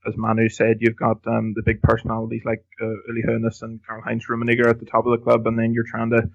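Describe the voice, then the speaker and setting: A man discusses football analytically and casually as a podcast guest. His pitch barely moves and his voice has a marked creak. The sound is a little dull and lo-fi. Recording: slightly dull, lo-fi audio.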